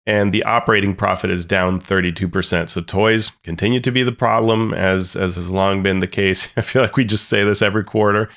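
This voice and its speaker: A man with a fairly low voice talks through a podcast news segment. He reads off figures in a relaxed way and drops in dry, wry remarks between the numbers. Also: a little fast, few pauses. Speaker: podcast co-host. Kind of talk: casual news discussion.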